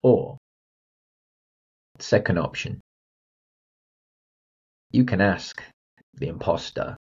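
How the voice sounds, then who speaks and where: Blunt man, husky voice. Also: low voice, animated, very slow, darkish tone, lo-fi sound. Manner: emphatic, blunt, direct address to listener. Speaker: podcast host and confidence coach. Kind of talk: motivational solo podcast.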